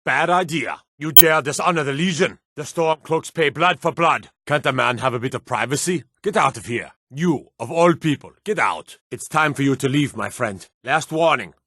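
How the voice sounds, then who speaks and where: An actor playing a gruff, aggressive male guard and soldier in a fantasy video game, rough-voiced, blunt and theatrical in delivery. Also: fairly high pitch, normal speed, few pauses, sharp tone, no echo, clean studio sound. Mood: stern, indignant. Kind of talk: video game combat barks and warnings.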